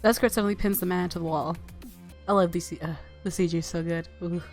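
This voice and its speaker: A woman's voice: lowish voice, fairly even intonation, fast, creaky, darkish tone. Playful woman narrating a game over music. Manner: playful, giggly commentary. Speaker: let's play YouTuber. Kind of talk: reading game narration aloud with casual reactions.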